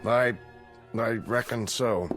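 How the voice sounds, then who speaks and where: An actor playing a meek night custodian, deferential, hesitant and folksy, in classic television drama style. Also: male voice, medium pitch, slow pace, music in the background, close to an everyday voice. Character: meek, plain-spoken male night custodian.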